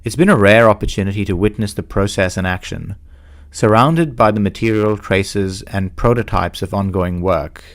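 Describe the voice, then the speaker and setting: A man speaking as a podcast host, with a measured, formal delivery, introducing and interviewing. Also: fairly low pitch.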